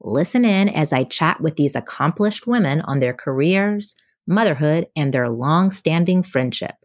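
A woman's voice: deep voice, animated, a little slow, dry recording, studio quality. A woman hosting a podcast, speaking in a scripted presenter style and interviewing guests. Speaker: podcast host and psychologist.

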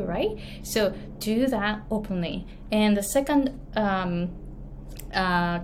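Japanese-accented woman coach, unhurried friendly storytelling, frequent laughs. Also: medium pitch, slight background noise. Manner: laughs often, many fillers and restarts. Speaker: English pronunciation coach. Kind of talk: casual talk, storytelling tips.

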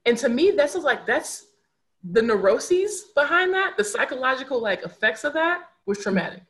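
A woman's voice: high voice, big pitch swings, moderate pace, fairly bright tone. A young adult woman speaking candidly as a podcast guest, earnest and reflective, repeating words for emphasis. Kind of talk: candid conversational storytelling.

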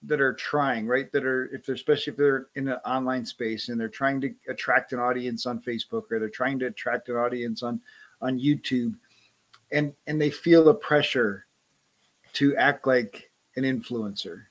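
A man in casual conversation, friendly and quick to laugh, often repeating words as he starts a thought. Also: medium pitch, fairly even intonation.

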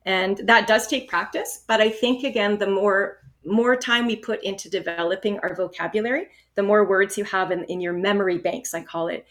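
A woman from Canada explaining speaking-test strategies in an encouraging, steady instructional manner. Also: mid-range voice, normal speed, hardly any pauses. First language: English. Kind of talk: instructional podcast talk.

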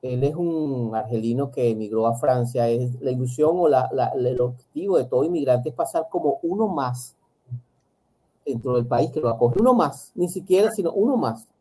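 A man's voice: medium pitch, normal speed, dark tone, poor audio. A man, a psychologist, talking reflectively in an interview, often restarting and repeating words. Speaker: psychologist guest. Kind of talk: interview conversation.

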